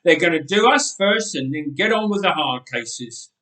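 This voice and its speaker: A man's voice: a little high, lively intonation, moderate pace, fairly bright tone. Man doing a whiny cheeky young boy. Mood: eager. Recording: roomy church echo.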